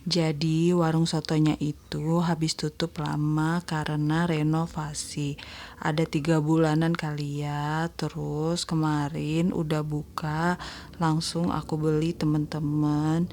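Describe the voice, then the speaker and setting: A woman with a congested, nasal voice, gently narrating a casual voice-over vlog about her day to her viewers. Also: sits low, flat delivery, slow pace. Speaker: homemaker vlogger narrating own daily routine. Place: voice-over recorded separately at home.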